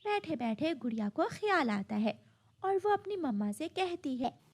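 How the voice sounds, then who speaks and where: High-pitched, lively woman story narrator. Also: moderate pace. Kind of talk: scripted children's story narration.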